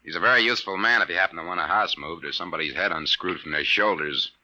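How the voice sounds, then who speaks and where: An actor in a 1950s radio drama playing a brisk, sardonic, skeptical hard-boiled private detective. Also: male voice, sits low, noisy background, natural voice. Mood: wry, brisk. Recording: muffled vintage 1950s radio recording.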